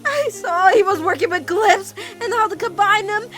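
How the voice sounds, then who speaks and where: Woman voicing an anguished, very high-pitched teenage girl. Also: a bit bright, soft background music. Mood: anguished confession. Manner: dramatic, halting, pleading delivery. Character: anguished teenage girl, guilt-ridden, devoted to girlfriend. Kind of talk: emotional animated-series fan comic dub.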